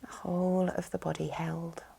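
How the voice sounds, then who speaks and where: A woman with a soft, breathy, whispery voice, soothingly guiding a yoga nidra relaxation with gentle instructions. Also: fairly low pitch, little pitch movement, normal speed. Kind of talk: guided relaxation meditation.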